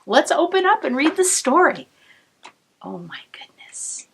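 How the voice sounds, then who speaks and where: Slow, animated woman reading to children. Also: high voice, a little hiss or hum. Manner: warm, expressive storytelling with asides to the listener. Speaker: cantor. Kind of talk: reading a picture book aloud to children.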